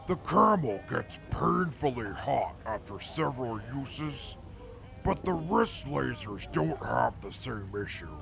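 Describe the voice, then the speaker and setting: An actor playing a reformed male mutant soldier in an audio drama, speaking in a formal, measured way. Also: medium pitch, sing-song, vocal fry, over music, exaggerated voice. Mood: eager. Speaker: former enemy scout now with the crew.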